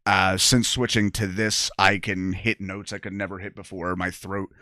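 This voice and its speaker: A young man from New with a scratchy, rough voice, chatting as a comedian guest and telling stories animatedly, jumping high when he quotes people. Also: normal speed, dry recording, studio quality. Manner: animated storyteller, voice jumps high when quoting. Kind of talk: casual podcast chat.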